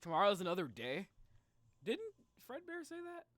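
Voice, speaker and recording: A young man giving casual, excitable live reaction commentary, exclaiming and thinking aloud. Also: sits high, moderate pace, no echo. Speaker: reaction video host.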